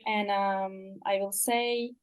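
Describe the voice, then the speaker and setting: A woman's voice: mid-range voice, fairly even intonation, slow pace. A young woman introducing herself as a volunteer on an online webinar, hesitant and full of fillers. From Turkey. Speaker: volunteer participant.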